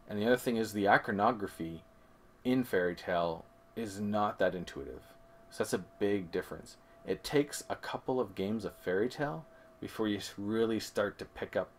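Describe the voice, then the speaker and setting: A man co-hosting a board game review, explaining things methodically in casual conversation and correcting himself as he goes. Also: deep voice. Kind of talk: casual comparison chat.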